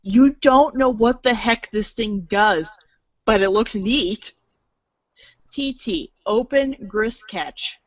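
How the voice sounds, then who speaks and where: A woman's voice: on the high side, slow, dry recording, lo-fi sound. Woman narrator in a dry recording. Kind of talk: scripted storybook-style narration.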